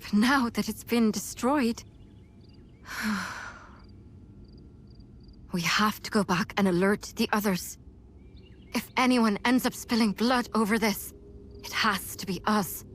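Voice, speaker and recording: A woman's voice: mid-range voice, takes it slowly, pauses often, slight background noise, natural voice. A woman playing a seasoned, easygoing female mercenary in a fantasy video game cutscene. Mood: grave, pensive. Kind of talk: English game cutscene voice acting. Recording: music behind the voice.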